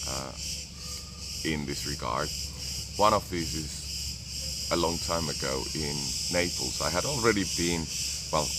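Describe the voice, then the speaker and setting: A hoarse man with a summer cold, hosting a live video and slowly telling a personal story with pauses and restarts. English is not his first language, and he is outdoors. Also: a bass voice. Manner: slow, rambling, pauses and restarts, laughs softly. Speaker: group host doing a live video. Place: outdoors, with noise around. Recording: loud outdoor noise with insects.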